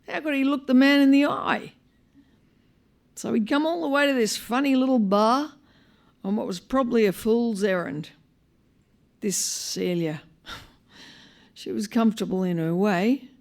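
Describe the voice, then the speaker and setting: An Australian woman reading a short story aloud live, in an expressive, wry manner with dramatic pauses. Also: medium pitch. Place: live on stage at a small venue.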